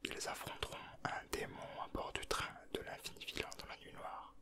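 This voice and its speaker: A man giving casual, teasing ASMR commentary in a breathy whisper, close to the microphone. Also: normal speed. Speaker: ASMR video creator.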